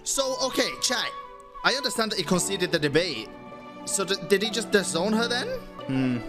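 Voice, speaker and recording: A woman's voice: mid-range voice, animated, moderate pace. A woman streamer giving casual, understated game commentary and reading dialogue aloud, sometimes wryly. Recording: game music playing behind the voice.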